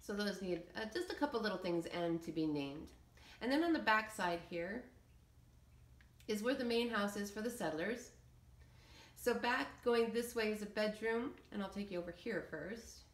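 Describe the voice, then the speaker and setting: A woman's voice: medium pitch, animated, normal speed. A woman giving a casual, hesitant, meandering walkthrough commentary of a game build. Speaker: video creator showing game settlement builds. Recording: roomy, echoey room sound.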